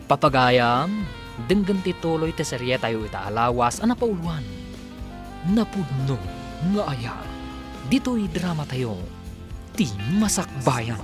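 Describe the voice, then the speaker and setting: A man's voice: medium pitch, big pitch swings, unhurried, long pauses. A man narrating and announcing a scripted radio drama in a formal, dramatic manner. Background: instrumental music with guitar.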